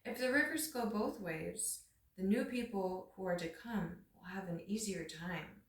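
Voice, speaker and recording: An agreeable eagle chief from a storybook, read by a woman, gives judgment in measured statements. The sound is roomy and echoing, slightly away from the microphone. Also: medium pitch, close to an everyday voice. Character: agreeable male eagle headman calling from a treetop. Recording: roomy echo, distant microphone.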